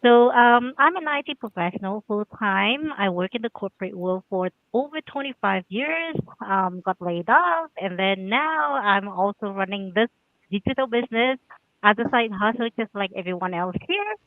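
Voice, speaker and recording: A woman's voice: medium pitch, animated, moderate pace, dry recording. Middle-aged woman on a tinny call line. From Vietnam. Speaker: panel guest. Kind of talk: livestream panel chat.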